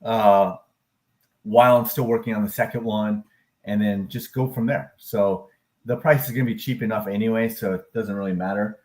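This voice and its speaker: A man hosting a casual live stream about crypto and stock charts, talking in an upbeat, rambling way with many fillers and self-corrections, and now and then whooping loudly. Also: fairly low pitch, fairly even intonation, moderate pace. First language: English. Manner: occasional loud whooping cheers.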